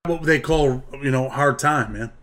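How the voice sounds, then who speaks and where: A man's voice: mid-range voice, measured pace, a bit bright. A man in his thirties hosting an interview over a video call, earnestly pressing home lessons for young viewers. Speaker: host. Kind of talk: interview questions and commentary. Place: indoors, plain room, video call.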